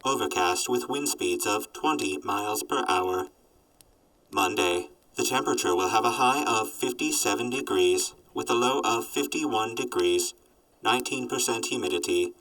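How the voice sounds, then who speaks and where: A man voicing a sardonic robot companion in an audio drama, reporting in a formal, clipped deadpan. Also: on the low side, moderate pace, distant mic, natural voice, rough recording. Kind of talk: post-apocalyptic audio drama acting.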